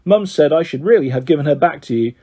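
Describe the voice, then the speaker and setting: A man's voice: on the high side, expressive, fast, warm tone. A man voicing a sweet, sad young girl with a gushing, childlike, tender manner in a children's story. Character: young girl, sweet sad best friend who moved away.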